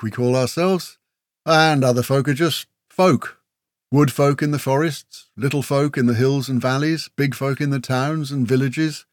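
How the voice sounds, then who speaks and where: A man's voice: medium pitch, dry recording, clean studio sound, pitched above the performer's own voice, natural voice. A man as a breezy, matey folk warrior. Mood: chatty. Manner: folksy, matey, talkative, with tag questions. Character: breezy, chatty male kinfolk warrior and teasing storyteller. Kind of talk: fantasy audiobook character dialogue.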